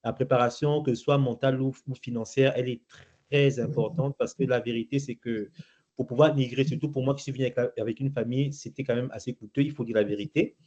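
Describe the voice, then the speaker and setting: A man's voice: mid-range voice, fairly even intonation, quick pace, a little mellow. A middle-aged man from Gabon answering interview questions, hesitant, with many fillers and restarts. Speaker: interview guest. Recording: muffled lo-fi recording.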